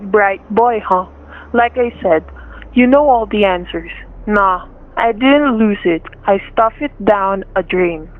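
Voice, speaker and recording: A woman's voice: medium pitch, takes it slowly, lightly acted. A woman playing a menacing, suspicious male gunman in a radio thriller, with sarcastic, slangy tough-guy talk. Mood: sneering. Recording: thin, phone-like radio-play recording.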